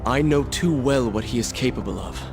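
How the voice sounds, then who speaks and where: An actor voicing a weary, sardonic young prince in a video game, talking in a dry, sarcastic way. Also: male voice, mid-range voice, moderate pace, lightly acted. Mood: wry. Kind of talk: game cutscene acting. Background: game music.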